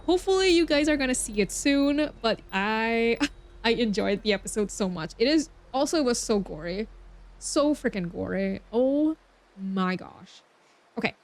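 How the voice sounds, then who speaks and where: Expressive high-voiced woman game streamer. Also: normal speed. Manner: expressive, gushing reactions with gasps and exclamations. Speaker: game streamer, reaction video creator. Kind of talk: live stream chat, reading game text aloud.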